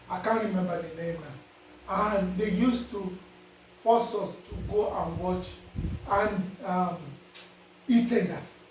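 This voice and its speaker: A man's voice: high-pitched, a little mellow, far from the mic, a little hiss or hum. A man with a Nigerian accent, giving casual life advice to his viewers and often asking if they understand. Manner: preachy, repeats "you understand?". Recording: very echoey, voice away from the mic.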